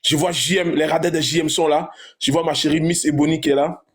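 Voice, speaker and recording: A man's voice: a little high, fairly even intonation, a little fast. A man hosting a live talk show, theatrical and insistent, repeating his questions over and over.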